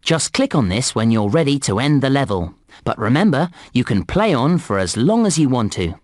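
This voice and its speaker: Cheerful, instructive park guide for a children's computer game; very animated delivery, dry studio sound. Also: male voice, medium pitch, moderate pace, dry recording. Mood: upbeat, encouraging. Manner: encouraging, instructive, clearly enunciated. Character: cheerful, encouraging male theme park guide. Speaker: game narrator and tutorial guide. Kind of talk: scripted children's game narration.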